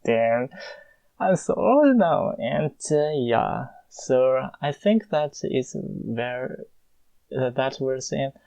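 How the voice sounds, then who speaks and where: A young man with a Mandarin Chinese accent, a native Mandarin speaker, answering listener questions in a casual, halting monologue full of filler pauses. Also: mid-range voice, gravelly, clean tone, dry recording, poor audio.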